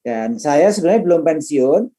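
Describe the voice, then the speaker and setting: A man's voice: on the high side, animated, moderate pace, dark tone. A man, a lecturer and trainer talking informally and chattily to his class. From Madiun, East Java, Indonesia. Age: middle-aged, late 50s. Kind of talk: informal class introduction, chatty autobiographical talk.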